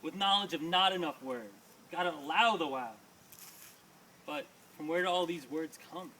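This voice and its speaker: Guy doing a poetry reading, punchy rhythm, sharp highish voice, picked up from far off in a noisy room. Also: normal speed. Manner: emphatic, rhythmic delivery. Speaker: poet reading own poems to an audience. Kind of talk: live poetry reading with casual asides. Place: small live reading before an audience. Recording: distant mic, noisy live room.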